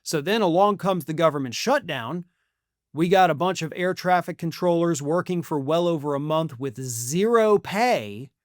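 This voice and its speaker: A man's voice: fairly high pitch, animated, normal speed, no room sound, clean studio sound. An American man delivering opinionated news commentary as a host, in an emphatic, sarcastic and colloquial way. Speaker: political commentator and channel host.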